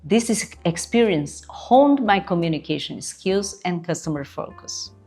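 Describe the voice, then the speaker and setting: A woman's voice: fairly low pitch, lively intonation, measured pace, warm tone, slightly rough, faint music behind. A woman with a Brazilian Portuguese accent, whose native language is Portuguese, coaching job-seekers in an earnest, emphatic way and often checking in with "right?". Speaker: interview coach. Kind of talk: instructional video talk.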